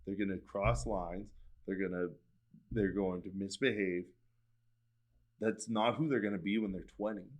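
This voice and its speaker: A man in his thirties with a lowish voice talks earnestly as a podcast guest. He rambles a little, leaning on "like" as he works his ideas out. It sounds like an unhurried chat. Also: steady tone. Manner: earnest, rambling, many "like" fillers and restarts. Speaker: guest, school vice principal. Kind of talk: casual interview chat.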